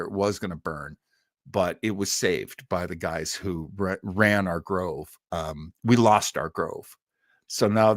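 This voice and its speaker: A man's voice: mid-range voice, fairly bright tone, no room sound. Rambling, jokey man hosting, close dry sound. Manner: rambling, halting, jokey asides. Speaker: live show host. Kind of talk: casual chat, reading and answering listener comments.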